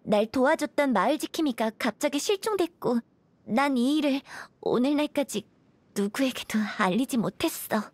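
A woman's voice: high-pitched, expressive, normal speed, no echo. A woman voicing a guarded, melancholy young village outsider in a video game, speaking earnestly in a subdued, confiding way. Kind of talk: video game character dialogue. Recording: faint background music.

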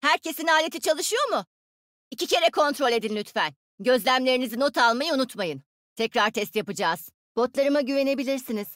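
A bright-minded, smug young woman inventor from a video game. Her voice sits high and jumps about with lively, teasing expression. The sound is dry, with no room to it. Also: fairly quick, no echo. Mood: teasing, confident. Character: cocky young female tech genius, playful and smug.

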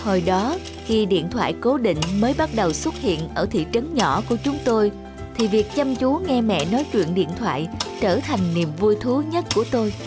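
A woman delivers scripted story narration. Music plays clearly behind her voice throughout. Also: a little high, very animated, normal speed.

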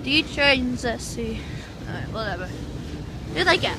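A woman filming trains on a station platform with a handheld phone, giving excited live commentary and whooping as freight trains pass. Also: fairly high pitch, unhurried. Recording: loud train noise, outdoor phone audio. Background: loud passing freight trains.

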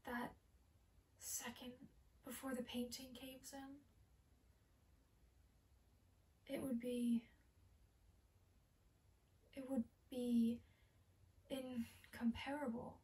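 Hushed, obsessive pyromaniac woman, acted out by a woman. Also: a little high, flat delivery. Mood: dreamy fascination. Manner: hushed, halting, intense. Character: obsessive female pyromaniac, wistfully enraptured by fire. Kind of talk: theatrical monologue. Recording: distant camera microphone in a room.

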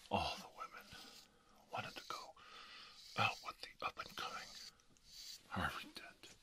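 A middle-aged man voicing a bitter, menacing, vain, scarred comic-book villain in a breathy, hissing ASMR whisper, with theatrical phrasing, close to the microphone. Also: sharp tone. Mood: bitter, wistful. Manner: slow, deliberate, theatrical phrasing. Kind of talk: ASMR character roleplay. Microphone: close microphone, seated near camera.

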